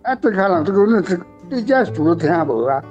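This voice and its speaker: A man's voice: sits high, sing-song, brisk, darkish tone, soft background music. An older man speaking as a guest author, explaining and reminiscing informally. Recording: dull lo-fi sound.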